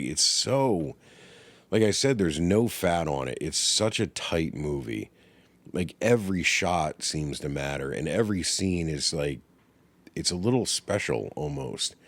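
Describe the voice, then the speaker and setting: Brief: very low-voiced male podcast host, lively swings in his delivery, swears freely. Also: moderate pace, no room sound. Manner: animated, profane, laughs often. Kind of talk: casual film discussion.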